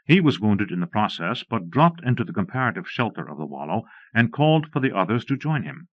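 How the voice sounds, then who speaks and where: A man's voice: fairly low pitch, big pitch swings, fairly quick, no echo. A man reading scripted Western stories and history as an audiobook narrator. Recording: dull lo-fi cassette transfer.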